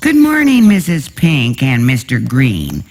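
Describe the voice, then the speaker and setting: A man's voice: medium pitch, wildly swooping pitch, a little slow, darkish tone, a little hiss or hum. A man voicing a cheerful, teasing male neighbor in a storybook, making playful declarations. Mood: cheerful greeting. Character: cheerful, teasing male neighbor Mister Red, a house painter.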